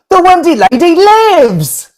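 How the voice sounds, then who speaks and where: Woman doing wide-eyed earnest little boy, slow, big pitch swings, audio play. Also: very animated, exaggerated voice. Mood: awed. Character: awed, earnest young lost boy.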